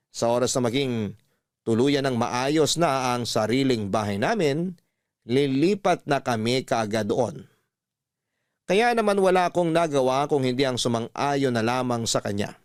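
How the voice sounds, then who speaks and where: A man's voice: medium pitch, dry recording, studio quality, lightly acted. A man with a Filipino accent reading out a resigned married woman's life story as radio drama narration.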